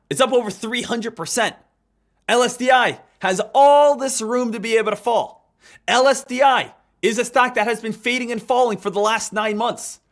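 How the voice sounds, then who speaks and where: A man in his thirties with a fairly high voice leads a live trading lesson. He talks with energy and circles back to the same points in a coaching tone. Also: a bit bright. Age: 30s. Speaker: trading instructor.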